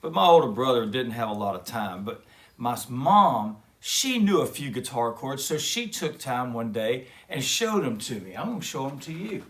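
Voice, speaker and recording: A man hosting a kids' show, talking gently and folksily to children between guitar demos. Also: mid-range voice, big pitch swings, normal speed. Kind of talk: friendly talk to kids with guitar demos. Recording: music loud in background.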